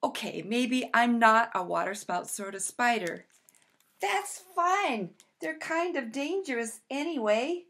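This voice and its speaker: A woman's voice: fairly high pitch, lively intonation, takes it slowly, a bit bright, pitched below the performer's own voice, heavily acted. A woman voicing a big, bumbling, eager and indignant storybook spider in a theatrical, exclamatory children's read-aloud. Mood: self-conscious, rueful. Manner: theatrical, exclamatory, comically aggrieved.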